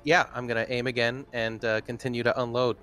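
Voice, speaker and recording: A man's voice: mid-range voice, little pitch movement, brisk, sharp tone, smooth. A man speaking casually as a player in a tabletop roleplaying game. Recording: background music under the voice. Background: music.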